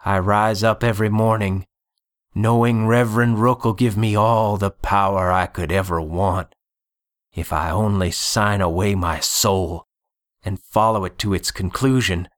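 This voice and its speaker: A man acts out a wounded sorcerer doctor for an audiobook. The voice is raspy and strained, and the words come slowly and gravely. He sounds bitter and grimly defiant. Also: lowish voice, fairly even intonation, no room sound, clean studio sound, lightly acted. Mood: bitter, haunted.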